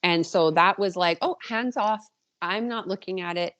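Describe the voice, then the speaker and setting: A woman's voice: on the low side, moderate pace, fairly bright tone. A woman, around her thirties, chatting casually and animatedly as an author being interviewed on a podcast, with a self-deprecating manner. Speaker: podcast guest, indie author.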